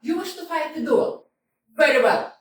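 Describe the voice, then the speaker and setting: A woman's voice: sits high, animated, moderate pace, slight background noise. A woman voicing a proud, indignant young widow in a staged reading of a play, scornful in tone. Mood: defiant. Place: small community theater. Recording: echoey room, far from mic.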